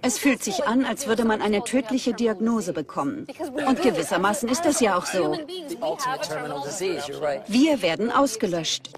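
A woman's voice: mid-range voice, expressive, unhurried, pauses often, a loud crowd, lightly acted. A woman in a dubbed documentary conversation, speaking as one of the team.

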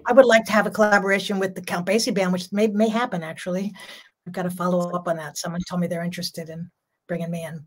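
A woman's voice: on the low side, little pitch movement, fast. An older woman talks in a loose, rambling way, laughing between thoughts. Her voice is strong and carries well. She is heard over a home video call. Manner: chatty, laughs often, rambling. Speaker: jazz singer, guest. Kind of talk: casual video-call interview. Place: home room.

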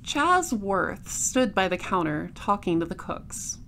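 A woman playing a patient, composed detective, narrating an audio drama in a measured, wry way. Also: medium pitch, big pitch swings, a bit bright, slight background noise, full character voice. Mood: dry narration. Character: composed, patient female space-patrol detective narrating her case.

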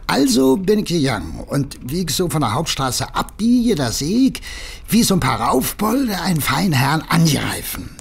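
An actor playing a humble, nervous working-class messenger with a Berlin accent, rambling and hesitant in colloquial speech, in an audio drama. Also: male voice, a little high, big pitch swings, normal speed, gravelly, breathy edge, exaggerated voice. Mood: rambling, sheepish. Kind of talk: German audio drama acting.

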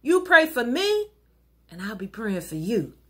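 Animated, slightly husky woman reciting rhyming poetry. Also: a little high, a little slow. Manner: earnest, rhythmic, exhorting. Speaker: minister and poet. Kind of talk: recited rhyming spoken-word sermon poem. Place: wood-paneled living room at home.

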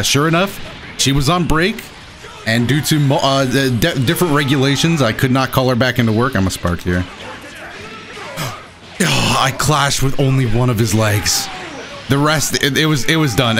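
A man hosting a gaming video with casual, excited, exclamatory and self-deprecating play-by-play commentary. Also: mid-range voice. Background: game music behind the voice.

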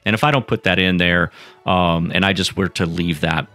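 A man's voice: low-pitched, fairly even intonation, normal speed, bright, forceful tone. A man giving a casual, rambling painting lesson, full of fillers and restarts. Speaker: painting instructor. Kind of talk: casual painting tutorial voice-over.

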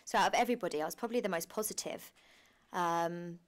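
Low-pitched young British woman, chatty. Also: fast talker. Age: young adult. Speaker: pantomime director and producer. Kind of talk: documentary interview, informal. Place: small costume room indoors.

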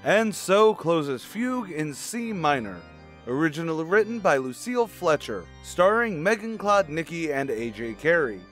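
A man's voice: a little high, sing-song, normal speed, fairly bright tone, faint music behind. A man announcing an old-time style radio show in a projected, presentational manner.

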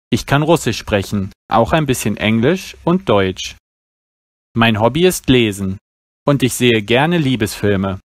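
A man reads language exam practice texts aloud at a very unhurried pace. He speaks each phrase carefully and clearly, like a teacher. His voice sits in a middle pitch and the sound is dry and close, with no room echo. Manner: slow, careful, clearly articulated reading. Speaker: German teacher.

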